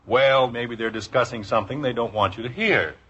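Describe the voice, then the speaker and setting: A man playing a gentle, indulgent middle-aged father in a 1950s radio sitcom, fond and wryly hesitant, on a dull old recording. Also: medium pitch, brisk, slightly rough. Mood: fond, patient.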